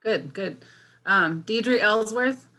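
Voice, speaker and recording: A woman of fifty from Washington State, giving her introduction as a candidate at a forum over a video call, halting with fillers and restarts. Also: mid-range voice, animated, bright, forceful tone. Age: 50s. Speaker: election candidate.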